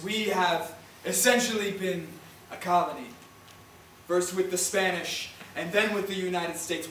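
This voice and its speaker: A man playing an earnest, proud old Puerto Rican grandfather who deliberately tells his history in a stage play. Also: high voice, fairly bright tone. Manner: deliberate, storytelling, slips into Spanish. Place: indoor stage, recorded from far off. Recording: roomy, distant theatre recording, faint noise.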